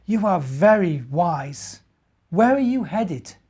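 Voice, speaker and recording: A man voicing a pondering, lame stranger in a children's story, thoughtful and deliberate. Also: high voice, animated, a little mellow, husky, full character voice. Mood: impressed.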